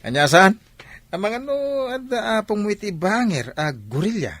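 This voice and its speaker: A man's voice: sits high, sing-song, normal speed, a bit bright, no room sound, heavily acted. A man playing a jovial, teasing young fellow with a Filipino accent in a radio drama. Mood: mocking.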